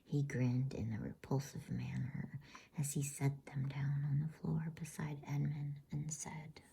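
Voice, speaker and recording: A woman reading a bedtime story as ASMR in a hushed, soothing, unhurried whisper. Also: a bass voice, little pitch movement, creaky, slight background noise.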